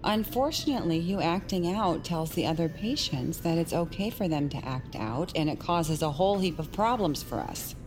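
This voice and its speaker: A menacing asylum doctor played by a woman in an audio drama, speaking in a low, measured voice. She stays polite and clinical, with a false friendliness, while music plays clearly underneath. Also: lively intonation, close to an everyday voice. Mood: gently chiding. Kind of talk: audio fiction acting.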